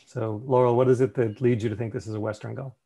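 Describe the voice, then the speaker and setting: Guy with a gravelly voice running a zoom slideshow, relaxed, lots of um and you know. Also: medium pitch, quick pace. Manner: relaxed, thinking aloud with fillers and restarts. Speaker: meeting presenter. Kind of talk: casual slideshow presentation on a video call. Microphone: video call.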